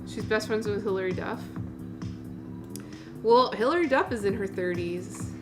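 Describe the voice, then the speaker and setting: A woman in her late thirties hosts a casual livestream with clear music playing behind her. Her voice sits at an average pitch for a woman. She talks in an informal, rambling way, peppered with filler "like" and exclamations as she responds to viewers. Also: measured pace. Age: late 30s. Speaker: livestream host.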